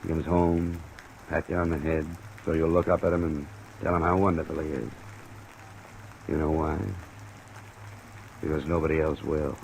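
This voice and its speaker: A man's voice: rumbling low, flat delivery, normal speed, creaky, long pauses, darkish tone, poor audio. A man playing a tough, cynical insurance investigator in an old-time radio drama, blunt and pressing. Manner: blunt, hard-boiled, pressing. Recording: old radio recording with faint hiss.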